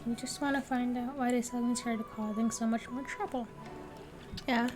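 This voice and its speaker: A woman's voice: on the high side, fairly even intonation, moderate pace, over music. A woman game streamer giving casual, chatty reactions as she plays.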